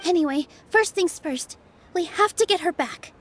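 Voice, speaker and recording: A woman voicing a gentle, earnest young heroine with a soft, girlish voice in a video game. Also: very high-pitched, moderate pace. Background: faint game music.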